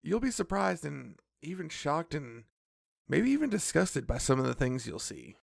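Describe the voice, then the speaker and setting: An actor playing a cautious, nervous magical companion in an audio drama, creaky and admonishing. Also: medium pitch, huge pitch swings, normal speed, no echo, clean studio sound, heavily acted. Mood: cautious, instructive. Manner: wary, admonishing.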